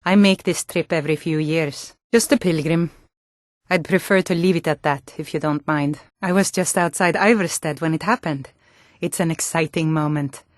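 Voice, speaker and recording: A proud, hardy Nord warrior woman from a fantasy video game, played by an actress. Her voice sits on the low side and is recorded dry and close. She speaks firmly and plainly, with an earnest air. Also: moderate pace, no room sound, natural voice. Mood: calm, reserved.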